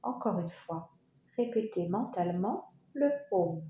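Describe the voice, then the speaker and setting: A woman leading a guided yoga relaxation, speaking in short, soothing, unhurried phrases with pauses. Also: fairly low pitch, expressive, warm tone, lo-fi sound. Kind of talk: guided meditation narration. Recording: roomy echo, faint background noise.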